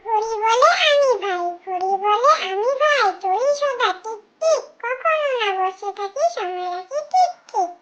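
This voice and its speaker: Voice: extremely high pitch, lively intonation, measured pace, few pauses, a bit bright, muffled recording, slight background noise, heavily acted. A performer voicing a small cartoon child who chants nursery rhymes in a simple, repetitive sing-song.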